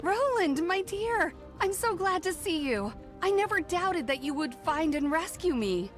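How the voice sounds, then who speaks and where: A young fairy-tale heroine, with a very high, sweet voice. She speaks earnestly and theatrically, as in a game cutscene, over music. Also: big pitch swings, a little slow, full character voice. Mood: relieved. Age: young adult. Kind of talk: dramatic video game acting.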